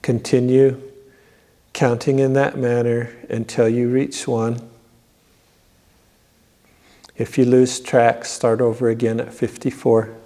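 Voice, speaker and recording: A man leading a guided yoga nidra meditation in a measured, repetitive, instructing manner. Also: mid-range voice, flat delivery, dark tone. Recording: long reverberant echo on voice.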